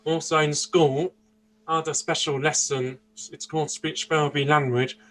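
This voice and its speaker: A man's voice: fairly high pitch, little pitch movement, moderate pace, fairly bright tone, low-quality recording. A young man in his twenties from Austria, answering interview questions earnestly, often restarting and searching for words. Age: 20s. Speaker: interview guest. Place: indoors, seated interview.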